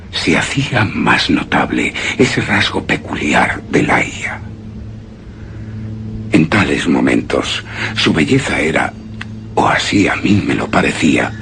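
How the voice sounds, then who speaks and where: An actor playing a grave, obsessed man who rapturously recalls his beloved in a solemn, savoring radio horror monologue with hushed emphases. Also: sits low, creaky, slight background noise, full character voice. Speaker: narrator of a horror story. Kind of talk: dramatic radio theatre monologue.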